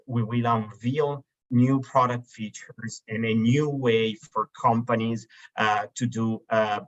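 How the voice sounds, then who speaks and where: Italian-accented man presenting on a video call. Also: medium pitch. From Italy. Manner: hesitant, many fillers and restarts. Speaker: webinar host for a marketplace. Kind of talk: presenting slides on a webinar. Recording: dull lo-fi video call audio.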